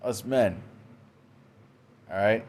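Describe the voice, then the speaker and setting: A man's voice: on the low side, drawn-out words, a little mellow, a little hiss or hum. Man preaching, ending lines with okay?. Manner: tags almost every sentence with "okay?" and "man"; frequent restarts and repeated words. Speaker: religious commentator. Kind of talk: informal preaching monologue. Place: indoors, Western New York.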